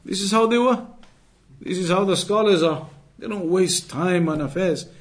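A man's voice: high voice, moderate pace. A man with a British accent giving an Islamic lesson as a teacher, repeating phrases for emphasis and explaining with everyday analogies. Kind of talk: religious lecture.

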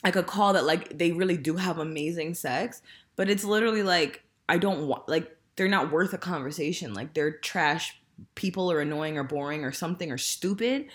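A woman's voice: on the low side, normal speed. A woman in her thirties hosting a casual podcast, talking frankly and laughing often, with lots of fillers. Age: 30s. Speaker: podcast host. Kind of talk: candid casual chat.

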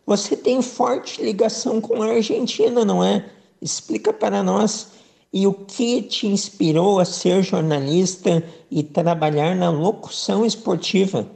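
A man's voice: sits high, measured pace, creaky, darkish tone. A man hosting a sports radio show, giving upbeat, formal introductions and questions to his guests. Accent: southern Brazilian Portuguese.

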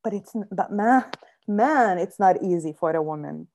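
A woman's voice: mid-range voice, lively intonation, normal speed, darkish tone. A young adult woman from Syria, an actress guest telling her story earnestly in an interview and often repeating words as she searches for them. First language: Arabic.

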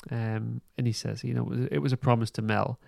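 A man hosting a podcast, giving a casual recap in an informal, halting way. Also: mid-range voice, level tone, gravelly, a little mellow, dry recording, studio quality. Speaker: podcast host. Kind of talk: casual plot recap and review.

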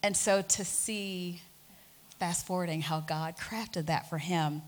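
A woman's voice: on the low side, slow pace. A woman in her forties hosting a faith talk show, telling family stories earnestly and animatedly, with frequent fillers. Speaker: host.

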